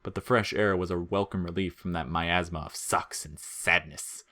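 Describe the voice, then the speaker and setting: A man's voice: low-pitched, steady tone, normal speed, sharp tone. A man reading scripted fiction aloud as a narrator.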